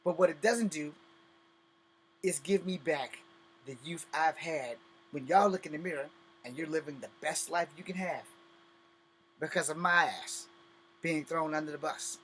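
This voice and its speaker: A man's voice: on the high side, moderate pace, long pauses, a bit bright, a little hiss or hum, low-quality recording. A man in his fifties hosting a personal vlog, talking bluntly and bitterly with profanity and emphatic repetition. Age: 50s. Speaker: host.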